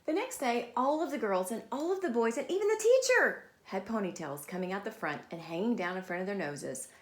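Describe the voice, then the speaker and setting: A woman reading a children's story aloud like a teacher, in an animated storytelling style. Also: medium pitch, a little fast. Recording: echoey, roomy sound.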